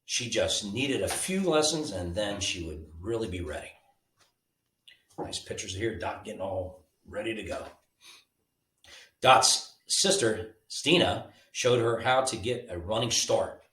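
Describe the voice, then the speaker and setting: A man with a lowish voice reads a children's bedtime story, his pitch moving in lively swings. He is informal and animated. The recording is roomy, with the mic at a distance. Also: a little slow. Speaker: storybook reader.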